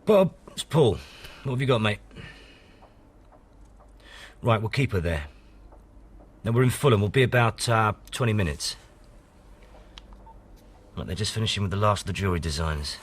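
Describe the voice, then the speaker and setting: British detective inspector, low husky voice, widely swinging pitch, brusque, commanding, radio drama. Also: male voice, lively intonation, moderate pace, long pauses. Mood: busy, matter-of-fact. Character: stern, driven male police detective inspector. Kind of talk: naturalistic radio drama acting.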